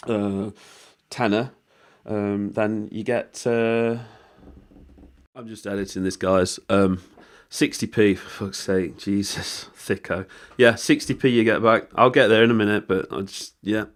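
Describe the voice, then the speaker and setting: A British man with a voice worn hoarse by a cold, talking viewers through an online walkthrough in a rambling, informal way, with swearing and lots of fillers. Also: on the low side, fairly even intonation, a little slow. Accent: British English. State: losing his voice from a cold. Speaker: vlogger explaining money-making offers. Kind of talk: casual screen-recording walkthrough.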